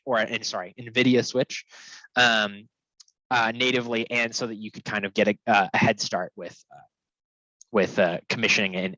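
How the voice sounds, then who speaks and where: A man's voice: lowish voice, sharp tone, no echo. Man explaining tech, dull dry recording. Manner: conversational, often checks in with "right?". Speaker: technical presenter. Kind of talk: informal webinar explanation with analogies. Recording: dull, lo-fi, dry close sound.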